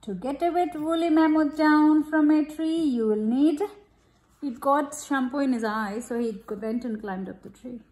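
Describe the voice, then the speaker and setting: A woman with a high-pitched voice reads a picture book aloud to a child. She takes it slowly and expressively, checking in with questions. The room around her is echoey. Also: darkish tone. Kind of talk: storybook read-aloud with chat to a child. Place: room at home, at a glass table.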